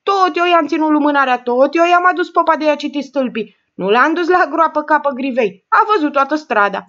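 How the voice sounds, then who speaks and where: Woman reader plays shrill blunt caretaker, rattles on, high and lively, lo-fi. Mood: indignant. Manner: rapid indignant chatter. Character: blunt working-class caretaker woman, indignant.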